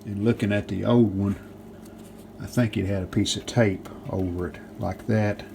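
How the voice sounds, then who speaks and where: A man with a fairly low, gravelly voice walks through a repair job step by step. He talks casually and plainly, pausing mid-sentence, over some background noise. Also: steady tone. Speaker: host of a restoration how-to video. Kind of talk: casual hands-on demonstration narration.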